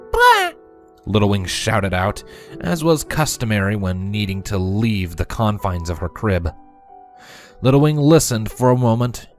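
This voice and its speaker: Deep-voiced man reading a story expressively. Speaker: fan fiction reader. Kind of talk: expressive scripted story narration.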